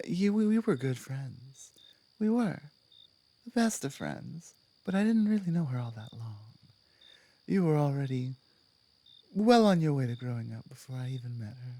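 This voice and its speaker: A woman playing a big, strong female minotaur guard captain in an ASMR roleplay, gruff but protective, firm and teasing, sometimes dropping to a hush. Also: a bass voice, voice pushed down, exaggerated voice. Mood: calm, reassuring.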